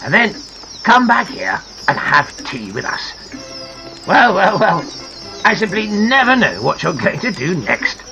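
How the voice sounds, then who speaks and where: A woman's voice: lowish voice, sing-song, measured pace, bright, forceful tone, soft background music, exaggerated voice, poor audio. A woman voicing a fussy, scolding but kindly male gnome from a children's storybook, exclaiming and reproving, with some background noise. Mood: scolding, relenting.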